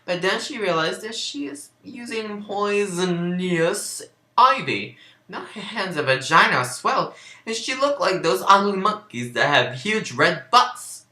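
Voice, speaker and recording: Bright-voiced woman in a roomy, echoing space. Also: medium pitch, animated, a little slow. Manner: breaks off into sarcastic asides and laughter. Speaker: amateur reader of fan fiction. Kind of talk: mocking dramatic reading with ad-libbed commentary. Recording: roomy, echoing home recording.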